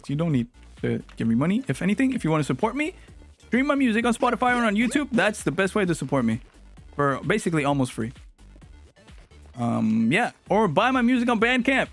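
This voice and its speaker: Lively playful male streamer, faint music. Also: a little high. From Latin America. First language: Spanish. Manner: animated, playful, teasing with chat. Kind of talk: casual live-stream chat.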